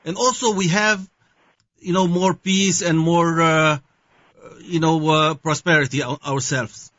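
High-voiced Syrian man, halting speech. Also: fairly even intonation, a bit bright, no room sound. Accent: Syrian Arabic. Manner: halting, searching for words with many fillers. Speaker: guest. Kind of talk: interview answers. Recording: dull lo-fi sound.

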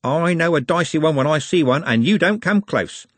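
A brash cart driver from a storybook voiced by a male narrator. He banters bluntly in a raised, animated voice, slightly husky and closely recorded. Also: on the high side, normal speed, no room sound, voice pushed up. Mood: cheeky, blunt.

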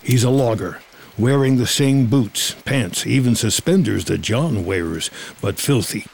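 A man's voice: mid-range voice, expressive, measured pace, vocal fry, darkish tone, slight background noise. A man reading scripted screenplay narration as the narrator of a drama.

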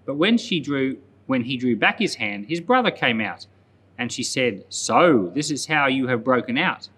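Man reading scripture with lively intonation. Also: medium pitch, moderate pace, husky. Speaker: pastor, Bible podcast host. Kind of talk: scripture reading aloud with informal commentary.

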